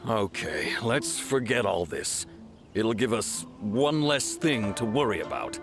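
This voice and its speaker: An actor playing a gruff, officious chief of guards in a video game, stern and curt. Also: male voice, on the low side, lively intonation, a little slow. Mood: grudging. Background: background music.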